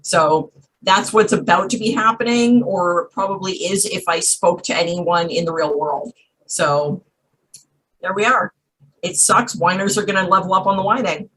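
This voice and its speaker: A woman's voice: on the low side, normal speed, a bit bright, husky, poor audio. A woman chatting casually as a show guest in a blunt, sardonic way, recorded away from the mic. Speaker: weather show guest correspondent. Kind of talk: casual comedic chat.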